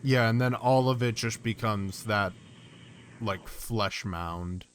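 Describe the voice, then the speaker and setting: A man's voice: lowish voice, takes it slowly, slight background noise. A man doing casual reaction commentary as a streamer, thinking aloud and reading text off the screen. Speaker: streamer reacting to an analog horror series.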